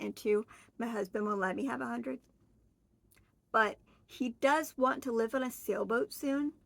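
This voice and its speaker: Chatty higher-voiced woman in her forties. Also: fairly bright tone, dry recording. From Oregon, US. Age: 40s. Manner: chatty, rambling, friendly, with fillers and self-corrections. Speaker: vlogger. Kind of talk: casual solo chat to camera.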